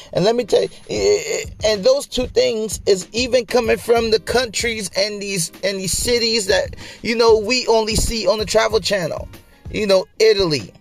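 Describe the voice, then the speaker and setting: A man's voice: sits high, gravelly. A man in his late twenties hosting a casual motivational podcast, talking colloquially to listeners and repeating phrases for emphasis. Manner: colloquial, conversational address to listeners. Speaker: podcast host.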